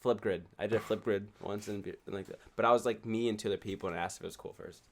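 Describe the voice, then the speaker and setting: A man's voice: fairly low pitch, fairly even intonation, rapid-fire. A young man in his twenties with a slightly nasal voice, co-hosting a podcast in a joking, rambling, casual way. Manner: joking, rambling, swears freely. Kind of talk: casual chat.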